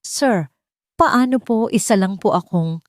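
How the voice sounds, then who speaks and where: A woman's voice: mid-range voice, lively intonation, a little slow, no room sound, studio quality, pitched above the performer's own voice, natural voice. A woman voicing a humble, timid housemaid and mother in an audiobook, polite and hesitant.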